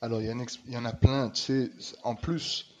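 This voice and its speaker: A middle-aged man from Réunion with a Réunionese French accent tinged with Québécois, talking in an emphatic, lively way and repeating words for stress. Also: mid-range voice, brisk. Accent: Réunionese French with some Québécois color. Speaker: guest. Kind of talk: casual conversation.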